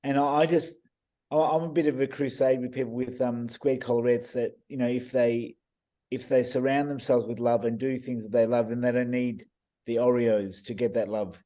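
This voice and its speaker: A man on a video call explaining eye readings in a casual, enthusiastic way, his voice a bit croaky and full of restarts. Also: mid-range voice, steady tone, normal speed, mellow. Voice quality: hesitant, many restarts and fillers. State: croaky voice, clearing throat. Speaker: iridologist guest reading eyes.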